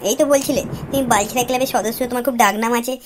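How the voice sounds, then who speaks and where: An actress plays a brash cartoon housewife. She is sassy, scornful and money-minded, speaking extremely fast in a high voice on a noisy recording. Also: lightly acted. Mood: scornful demanding. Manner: sassy, scolding. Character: brash, scornful, mercenary cartoon married woman. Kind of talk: comic cartoon dialogue. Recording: noisy with clicks.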